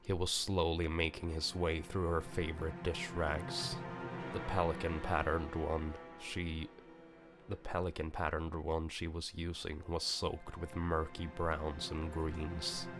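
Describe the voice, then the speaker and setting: A man reading a visual novel aloud as dramatic story narration. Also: very deep voice. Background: music.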